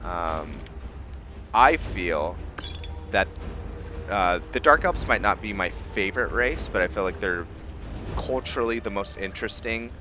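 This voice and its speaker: A man's voice: on the low side, expressive, measured pace, pauses often, pushed and bright, a little hiss or hum. A man giving casual, rambling let's-play commentary as a gaming host.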